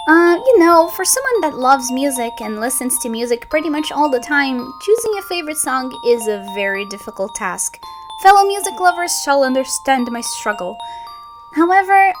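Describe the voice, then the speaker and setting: A woman's voice: high-pitched, fairly bright tone. A young woman, a voice actress chatting playfully through a fan Q&A. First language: Brazilian Portuguese. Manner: bubbly, playful, giggly. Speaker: voice actress and channel host. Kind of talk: casual Q&A chat.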